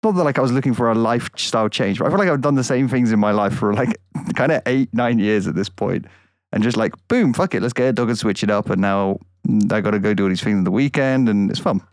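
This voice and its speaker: A man's voice: on the low side, fast, no echo, clean studio sound. A man co-hosting a podcast, talking in a rambling casual way with frequent laughs. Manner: rambling, laughs often, many fillers. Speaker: podcast co-host.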